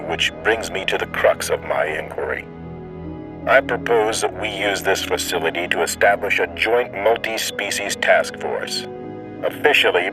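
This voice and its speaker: A man performs a senior military statesman with a deep, low voice. He is grave, weary and conciliatory, speaking formally and with measure as in an audio drama. Music plays softly beneath him. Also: lightly acted, rough recording. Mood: businesslike. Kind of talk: formal dictated message, audio drama acting.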